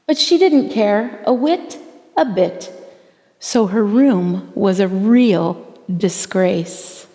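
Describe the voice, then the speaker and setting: Slow, expressive woman storyteller in reverberant hall. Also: mid-range voice. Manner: theatrical, deliberate phrasing with drawn-out emphasis for children. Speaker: pastor reading a children's story aloud. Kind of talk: expressive storybook read-aloud in rhyming verse.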